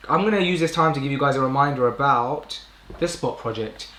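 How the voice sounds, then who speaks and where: A man's voice: medium pitch, a little fast, pushed and bright. British man live streaming in an echoey room. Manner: informal, rambling, self-correcting. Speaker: podcast host live streaming. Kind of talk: casual live stream chat. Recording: roomy indoor echo.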